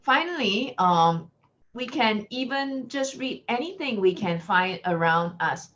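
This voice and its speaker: Singaporean woman giving a measured lecture. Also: a little high, animated, a bit bright. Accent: Singaporean English. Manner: clear, measured teacherly delivery. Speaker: guest lecturer. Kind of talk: online lecture. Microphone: video call. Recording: lo-fi video call audio.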